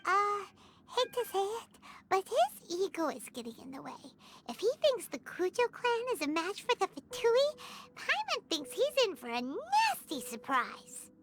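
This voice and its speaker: Very squeaky, childlike fairy companion. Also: female voice, huge pitch swings, a little slow, noisy background, full character voice. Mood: skeptical commenting. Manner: speaks of self in third person, chirpy. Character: tiny excitable childlike female fairy companion. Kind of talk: anime video game voice acting.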